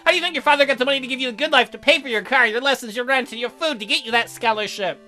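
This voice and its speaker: A woman's voice: high-pitched, very fast, sharp tone, soft background music. A woman voicing a zealous, angry young female vigilante in a visual novel, preaching and giving bossy orders. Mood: accusing.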